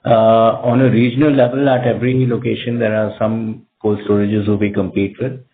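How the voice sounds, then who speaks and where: A man speaks in a fairly low voice over a narrow, tinny phone connection. He is a company executive on an earnings call, explaining things in measured, somewhat halting answers. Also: level tone, a little hiss or hum. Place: conference call. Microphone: telephone.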